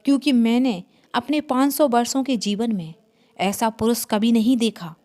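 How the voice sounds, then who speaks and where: A woman's voice: on the high side, fairly even intonation, moderate pace, dark tone, natural voice. A woman storyteller voicing a wary female vampire in a horror story, with a grave, cautioning manner. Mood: grave warning.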